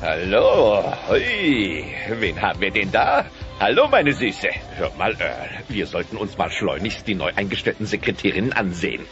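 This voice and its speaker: An actor playing a brash, leering, meddling dinosaur buddy in an audio drama, cheeky and full of exclamations. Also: male voice, sing-song, normal speed, a bit bright, soft background music. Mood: leering excitement. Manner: cheeky, exclaiming, swoops in pitch. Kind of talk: German children's audio drama acting. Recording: dull, noisy cassette transfer.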